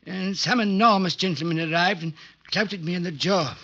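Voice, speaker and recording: Fussy prim butler, high bright voice, breathy, flustered, 1940s radio drama, dull lo-fi sound. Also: male voice, normal speed, exaggerated voice. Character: timid, prim middle-aged male butler. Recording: dull lo-fi old radio recording.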